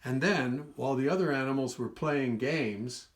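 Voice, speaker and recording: A man's voice: mid-range voice, moderate pace. A man telling a children's story as a storyteller, reading aloud expressively, stretching words for emphasis and adding explanatory asides. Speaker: storyteller reading his own book.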